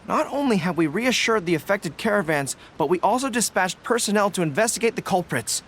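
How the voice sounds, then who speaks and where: An earnest young general. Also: male voice, sits high, slight background noise. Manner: formal, courteous and earnest.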